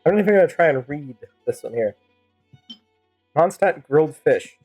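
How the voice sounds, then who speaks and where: A woman's voice: low-pitched, expressive, moderate pace, a little mellow, slightly rough. A woman game streamer improvising wry, teasing commentary and joking replies to the characters. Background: game music.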